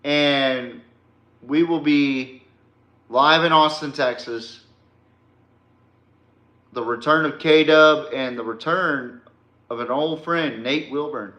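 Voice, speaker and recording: A man's voice: fairly high pitch, slow pace, long pauses, pushed and bright, reverberant. A man hosting a casual solo podcast, talking bluntly and with casual swearing into a podcast microphone. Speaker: podcast host.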